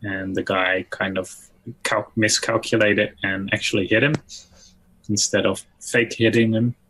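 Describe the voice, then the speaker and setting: Male call participant, low but sharp-toned, casual conversational delivery. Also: flat delivery, unhurried, rough recording. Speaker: participant in a live stream. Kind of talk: casual chat and anecdote on a video call. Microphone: video call.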